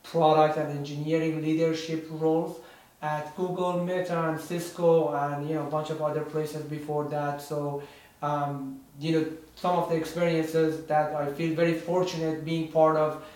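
A man from Iran, co-hosting a casual podcast chat in an earnest, measured way with frequent fillers. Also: a little high, little pitch movement, a little mellow. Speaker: podcast co-host. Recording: very echoey room, distant mic.

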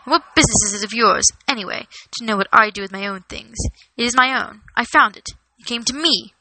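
A woman's voice: fairly high pitch, moderate pace, pushed and bright, dry recording, rough recording. A woman voicing a stubborn, possessive elderly male hobbit in a storybook, defensive and hesitant. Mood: suspicious, angry. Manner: hesitant, defensive, stammering under pressure. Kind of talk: storybook character reading.

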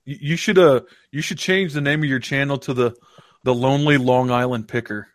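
A man's voice: medium pitch, fairly even intonation, brisk, no room sound, studio quality. A man hosting a casual live-stream chat, joking and laughing often. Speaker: show host. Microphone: new studio microphone.

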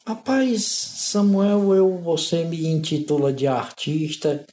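A man, an interview guest telling his life story in a measured, thoughtful way. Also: a little high, lively intonation, darkish tone. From Ceará, Northeast Brazil. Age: 60s. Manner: measured, thoughtful, with frequent "né?" tags. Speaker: interview guest, artist and modeler. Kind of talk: unhurried reflective storytelling. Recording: roomy echo.

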